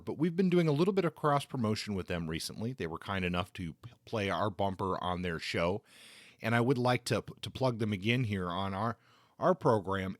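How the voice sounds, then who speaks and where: A man hosting a podcast in a casual, conversational way, feeling out his phrases haltingly. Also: fairly low pitch, no echo, clean studio sound. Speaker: podcast host. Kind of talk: casual podcast talk and book review.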